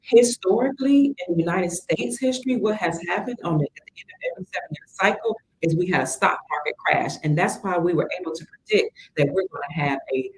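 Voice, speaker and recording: Chatty woman host with a lowish voice. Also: a little hiss or hum, poor audio. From Cleveland, Ohio. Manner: chatty, excitable, addresses viewers as sister. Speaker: livestream host. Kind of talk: casual livestream talk, reading viewer comments.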